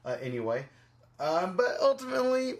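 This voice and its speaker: Guy hosting a podcast, kinda high voice, rambly casual review chat. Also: big pitch swings, normal speed. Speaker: podcast host.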